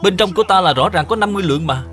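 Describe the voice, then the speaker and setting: A man's voice: high voice, lively intonation, very fast, a bit bright, pitched above the performer's own voice. A man voicing a shifty, blustering male bully, accusing and protesting, in an educational cartoon. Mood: outraged.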